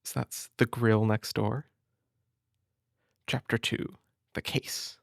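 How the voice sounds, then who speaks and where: Soothing male big-brother type for bedtime-style reading: unhurried, close and dry, tender, slightly hesitant. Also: medium pitch, flat delivery, vocal fry, dry recording. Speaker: audio roleplay performer as a caring big brother. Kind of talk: intimate comfort roleplay and storybook reading.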